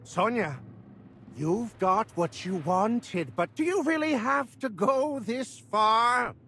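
An actor playing a ruthless, self-important older crime boss, a melodramatic, domineering video game villain. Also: male voice, sits high, animated, slow pace, sharp tone, a little hiss or hum, exaggerated voice. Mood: indignant pleading.

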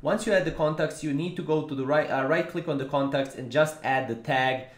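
A man's voice: on the high side, brisk. Man giving a tutorial in an echoey room. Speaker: tutorial host. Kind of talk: casual step-by-step software tutorial. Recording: roomy, echoing voice-over.

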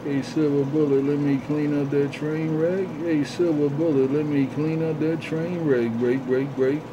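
A man's voice: on the high side, steady tone, unhurried. A man talking as a CB radio operator, repeating call signs and catchphrases in a chanting way over a radio channel. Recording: noisy, dull CB radio audio.

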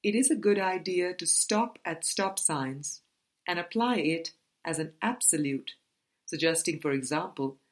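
A woman with a very low-pitched voice reads from a book. Her pace is very slow, and each phrase is set out in a measured, deliberate way, as in an audiobook. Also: lively intonation. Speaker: audiobook reader. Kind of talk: scripted book reading.

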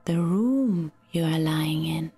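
A woman guiding a relaxation meditation in a soft, soothing, half-whispered voice with long pauses. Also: deep voice, expressive, husky, faint music behind. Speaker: yoga nidra guide.

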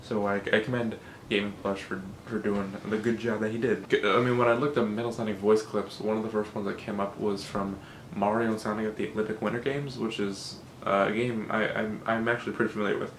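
A young man with a low, monotone voice, talking casually into a condenser mic in a small bedroom. He sounds loose and self-critical, and swears freely as he goes. A faint hiss of room noise sits under his voice. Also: a little fast, echoey room, low-quality recording. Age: young adult. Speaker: rap battle creator. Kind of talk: casual behind-the-scenes vlog. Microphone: studio condenser mic.

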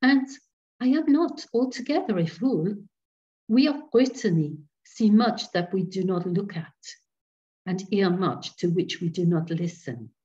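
A woman voicing a timid, kindly little elderly Breton priest in a storybook reading, speaking gently and formally. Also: high voice, lively intonation, muffled recording. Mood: fond, knowing. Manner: gentle, halting, formal phrasing.

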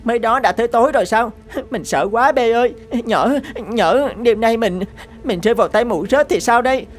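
A woman's voice: fairly high pitch, normal speed, close to an everyday voice. A woman voicing timid, anxious young cattle in a cartoon, speaking in a fretful, dramatic way. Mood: fearful. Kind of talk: animated fairy-tale dubbing.

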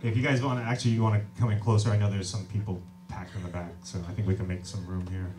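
A man in his thirties talking casually and warmly between songs at a small club gig, relaxed and self-deprecating, laughing often. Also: fairly low pitch, racing. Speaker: singer-songwriter and label owner. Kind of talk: stage banter between songs. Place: small crowded club venue, indoors. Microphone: stage vocal mic on stand, recorded from audience. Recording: distant live room recording. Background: acoustic guitar, audience.

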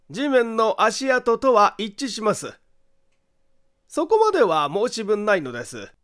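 Amateur detective for mystery audiobook, male reader acting: creepy amusement, high pitch, unhurried deliberate accusations. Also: fairly bright tone, heavily acted. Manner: deliberate, theatrical, amused emphasis. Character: eerie chuckling amateur detective, calm, relentlessly accusing man.

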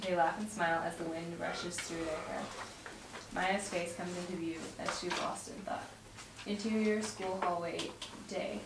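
A young woman reads script directions aloud in a fairly low voice. The sound is roomy and distant, with loud background noise. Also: steady tone, moderate pace. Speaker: narrator reading stage directions at a table read. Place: home dining room, group around a table.

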